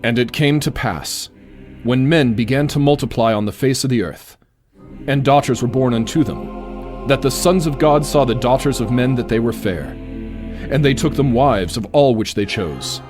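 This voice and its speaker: Actor as gentle, solemn male priest. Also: medium pitch, normal speed, over music, lightly acted. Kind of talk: audio drama acting.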